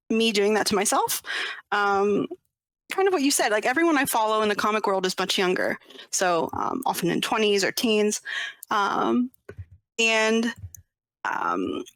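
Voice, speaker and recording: A woman's voice: a little high, fairly bright tone, no room sound. Hesitant woman in her thirties, dry sound. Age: 30s. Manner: hesitant, many ums and restarts, laughs often. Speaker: interview guest, webcomic creator. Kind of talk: casual interview chat.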